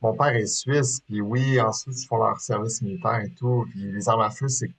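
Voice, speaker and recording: A man's voice: lowish voice, steady tone, normal speed, gravelly, low-quality recording. A man in his thirties from Quebec with a Québécois accent, talking casually as an interview guest, hesitant with many fillers and restarts. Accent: Québécois French. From Quebec, Canada. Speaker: guest, sport shooter.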